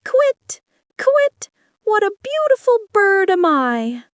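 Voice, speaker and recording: A woman's voice: very high-pitched, sing-song, slow pace, dry recording, clean studio sound, pitched above the performer's own voice, heavily acted. A woman voicing a magical singing bird, chanting its song in a sing-song way. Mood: haunting chant. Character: magical singing bird, boy's spirit, eerie.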